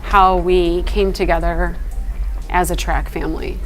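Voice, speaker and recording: Middle-aged woman, earnest, over loud music. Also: on the low side, flat delivery, a little slow, low-quality recording. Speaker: interviewee, high school teacher and track head coach. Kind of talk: conversational interview answers.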